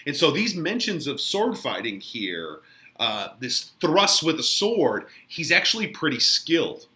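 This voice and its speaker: A man's voice: fairly high pitch, sing-song, unhurried, bright, forceful tone. A man giving informal, conversational lesson commentary as a literature teacher, explaining with emphasis.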